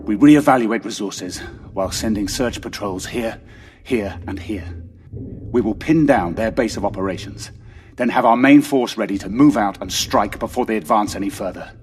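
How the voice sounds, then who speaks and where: A man's voice: fairly low pitch, moderate pace, a little mellow, faint music behind, close to an everyday voice. An actor playing a weary, stern male military commander who gives clipped orders. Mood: commanding. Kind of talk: audio drama acting.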